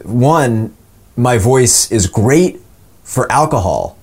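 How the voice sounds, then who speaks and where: A man's voice: mid-range voice, expressive, slow, a little mellow, husky. A man in his twenties with a polished, announcer-like voice, telling stories casually and self-deprecatingly as an interview guest. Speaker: voice actor guest.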